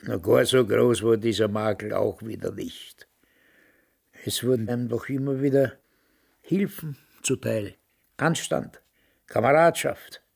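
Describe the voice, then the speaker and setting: Gravelly, smug old Austrian man. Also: medium pitch, a little slow, pauses often, darkish tone. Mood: self-satisfied. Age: older, past retirement. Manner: smug, rambling. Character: self-satisfied old ex-Nazi, retired Austrian police major. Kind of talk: radio drama acting, reminiscing.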